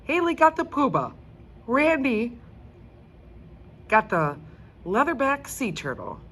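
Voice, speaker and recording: A woman reading a children's book aloud in an expressive, emphatic storytelling style. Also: a little high, slight background noise. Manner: animated, emphatic, deliberate character reading.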